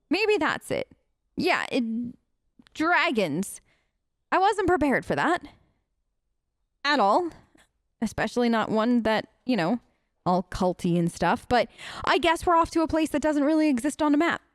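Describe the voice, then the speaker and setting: A woman's voice: fairly high pitch, very animated, normal speed, no room sound, studio quality. A woman doing role-play gaming commentary, reading aloud and musing in a conversational way. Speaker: let's player who role-plays her character.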